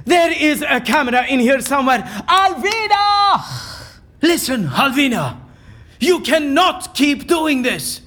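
An actor playing an eccentric, erudite man in an audio drama, speaking in a florid, pompous and animated way. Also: very high-pitched, a little slow, full character voice. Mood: indignant shouting. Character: eccentric, theatrical, erudite man, convinced his interrogation is staged.

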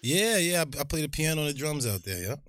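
A man co-hosting a podcast, trading rowdy jokes and insults with a caller, swearing freely and laughing loudly. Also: a little high, sing-song, quick pace, dry recording. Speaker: podcast co-host. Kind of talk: loud joking banter.

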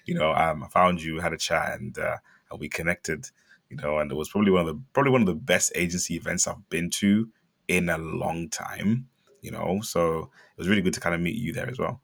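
A young man from Zambia, a company founder telling his story in a chatty interview, with lots of fillers and bursts of excitement. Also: sits low, brisk, creaky. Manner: chatty, many fillers, animated when excited. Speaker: startup founder guest.

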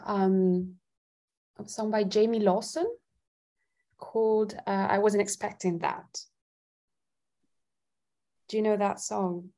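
Slow, mellow-voiced woman thinking aloud. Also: mid-range voice. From Italy. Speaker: guest singer-songwriter. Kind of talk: casual interview chat.

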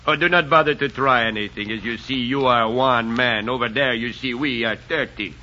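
A menacing mock-polite villain boss, very sharp voice, old dull radio recording. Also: male voice, medium pitch, slight background noise. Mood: smug, mocking. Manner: smug, mock-polite, deliberate. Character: menacing, mocking male villain, gang leader in wilderness. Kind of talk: 1940s radio drama acting.

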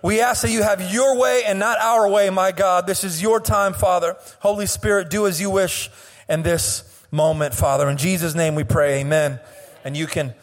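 High-voiced hoarse man preaching in a hall. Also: fairly even intonation, soft background music. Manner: impassioned preaching with sung, drawn-out phrases and frequent restarts. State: slightly hoarse. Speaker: pastor. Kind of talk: sermon. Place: church sanctuary.

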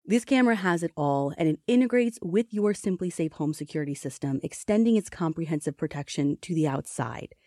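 Female ad narrator, slightly low, dark smooth tone, straightforward scripted read. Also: animated, fairly quick, few pauses, dry recording, clean studio sound. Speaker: podcast ad reader.

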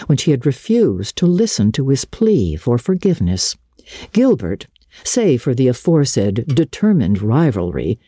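A woman reading a classic novel as a dramatic audiobook, with measured, expressive storytelling. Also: very deep voice, husky, no room sound, studio quality. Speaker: audiobook narrator.

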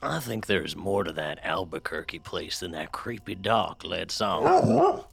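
An actor playing a folksy, skeptical working man in an audio drama, rambling colloquially and conspiratorially in a gravelly voice. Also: sits low, huge pitch swings, unhurried, heavily acted. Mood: musing, grumbling.

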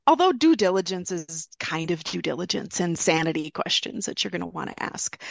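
A woman's voice: on the low side, gravelly, fairly bright tone, dry recording. A woman guest on an interview show, talking through business acquisitions in a conversational, explanatory way. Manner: explanatory, thinks aloud with restarts.

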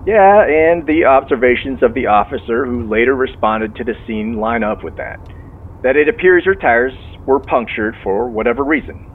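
A man's voice: medium pitch, normal speed. A man playing a genial sheriff's officer heard over the phone, folksy and courteous as he methodically probes, in audio drama dialogue. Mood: informative. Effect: phone line filter. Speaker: law enforcement officer. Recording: tinny phone line, car noise.

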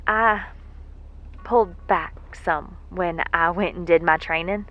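Folksy moonbase worker, muffled recording. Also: female voice, lowish voice, slow. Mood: wistful, rueful. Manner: folksy, self-deprecating, rambling. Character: folksy, lovesick stranded moonbase worker, wry and rambling. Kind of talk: audio drama monologue, a spoken letter home. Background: faint music.

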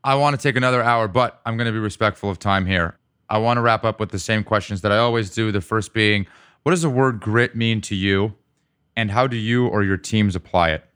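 A man hosting a business podcast, asking methodical, measured questions of his guest. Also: on the low side, fairly bright tone, clear, resonant tone, dry recording, clean studio sound. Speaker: podcast host.

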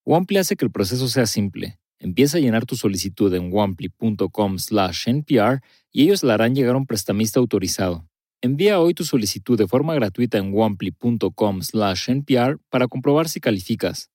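A man reading a sponsor message in a steady, clear promotional delivery. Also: on the low side, brisk, no echo, studio quality. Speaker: sponsor announcer. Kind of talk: scripted ad read.

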